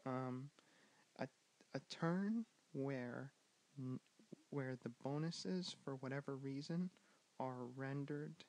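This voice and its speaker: A young man with a soft, gentle voice teaching a board game in a soft-spoken ASMR style, slipping in and out of whispers and pausing with ums. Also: medium pitch, poor audio. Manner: drifting in and out of whisper, hesitant with many ums. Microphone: handheld camera mic.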